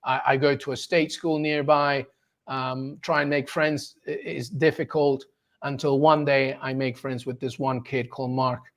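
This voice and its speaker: A man in his forties from Peru, a sports journalist telling his story as an interview guest in an animated, enthusiastic way, quick to laugh. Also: medium pitch. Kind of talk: casual storytelling in a conversation.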